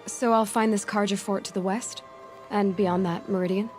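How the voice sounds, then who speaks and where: A woman's voice: mid-range voice, fairly even intonation, normal speed, darkish tone, close to an everyday voice. Determined young huntress, music behind played by a woman. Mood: confident, curious. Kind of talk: video game cutscene acting.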